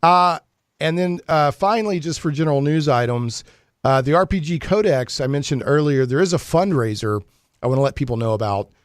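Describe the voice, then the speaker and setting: A man's voice: a little high, fairly quick, dry recording, studio quality. A man hosting a talk show, chatting casually in a rambling, joking, mock-serious way. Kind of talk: casual chatty radio banter.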